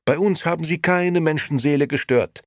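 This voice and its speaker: A man's voice: fairly high pitch, normal speed, no echo, studio quality, pitched above the performer's own voice, lightly acted. A man voicing a brusque, indignant woman, an innkeeper's fiercely loyal wife, in a scolding audiobook character voice. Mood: outraged.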